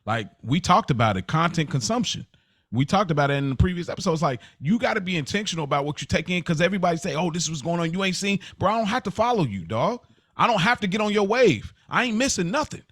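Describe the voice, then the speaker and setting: A man's voice: sits high, sing-song, fast, a bit bright, no echo. Male podcast host, close dry sound. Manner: emphatic, repeats phrases to build a point. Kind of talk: casual podcast conversation.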